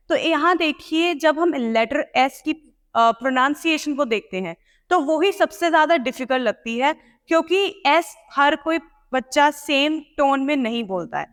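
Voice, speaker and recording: In a reverberant room, a woman with an Indian accent teaches in a high-pitched voice. She is didactic and articulates deliberately, often posing questions as she explains. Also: fairly bright tone. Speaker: English pronunciation and test-prep teacher. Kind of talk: instructional lesson mixing explanation and read-aloud demonstration.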